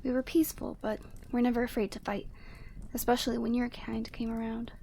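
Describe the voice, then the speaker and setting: Woman voicing a proud, high-voiced yeti lady. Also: little pitch movement, creaky, dark tone, slight background noise. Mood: solemn, instructing. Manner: imperious, measured, formal phrasing. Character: proud, commanding yeti woman, haughty yet teasing. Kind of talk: scripted ASMR roleplay acting.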